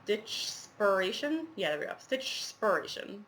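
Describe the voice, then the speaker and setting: Woman vlogger, stuffy nose, bright tone, rambling casual chat. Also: mid-range voice, rough recording. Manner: rambling, lots of fillers and asides. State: congested with a head cold. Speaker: cross-stitch vlogger. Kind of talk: casual show-and-tell chat.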